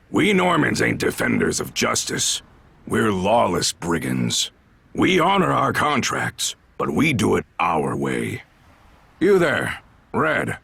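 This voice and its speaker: An actor playing a gruff, sly, commanding brigand leader in a video game, with a husky, gravelly voice and a folksy, teasing drawl. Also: male voice, fairly low pitch, fairly bright tone, no echo, heavily acted. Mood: menacing. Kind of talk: video game cutscene acting.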